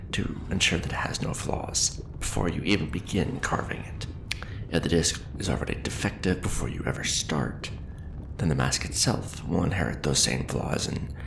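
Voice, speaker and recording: A man playing a seasoned, patient and wry craftsman teacher in an ASMR roleplay, speaking in a measured, hushed way with dry humor. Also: low voice, vocal fry, roomy sound, noisy background, exaggerated voice. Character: seasoned male sci-fi fantasy mask-forging craftsman teacher, patient, wry. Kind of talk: ASMR roleplay, instructional monologue.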